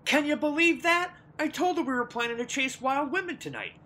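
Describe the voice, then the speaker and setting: A man's voice: very high-pitched, a little fast, bright, forceful tone. A man playing a jocular, needling dockworker husband in a radio play. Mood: joking. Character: joking, impatient dockworker husband, a wisecracker.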